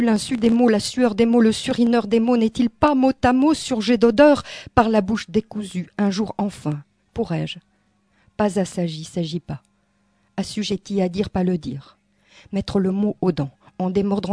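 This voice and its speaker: A woman performing spoken-word poetry in an incantatory, repetitive way, swinging between hushed and forceful delivery. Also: medium pitch, no room sound. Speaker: poet.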